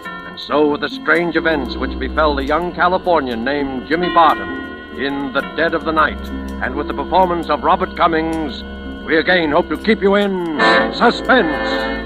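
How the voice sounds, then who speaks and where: An actor playing a mysterious, solemn suspense host in 1940s radio, formal and measured, with loud music behind him. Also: male voice, a little high, natural voice, low-quality recording. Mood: ominous, formal. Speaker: host and announcer. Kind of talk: 1940s radio scripted introduction.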